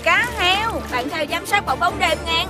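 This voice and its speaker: A woman's voice: very high voice, expressive, a little slow, over music, crowd noise. A woman voicing a clever, take-charge child hero in a children's cartoon, earnest and decisive. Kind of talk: animated children's series dubbing.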